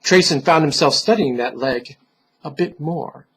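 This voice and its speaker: Husky, high-voiced man reading dramatically. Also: fairly bright tone. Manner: theatrical, solemn, deliberate with dramatic pauses. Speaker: storyteller reading a horror fantasy tale. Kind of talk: dramatic scripted narration.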